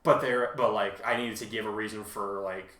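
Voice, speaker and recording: A man hosting a casual fan discussion, talking in a rambling, halting way and often correcting himself mid-thought. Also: fairly low pitch, fairly even intonation, sharp tone, recorded from a distance. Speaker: host and fan fiction author. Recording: echoey untreated room.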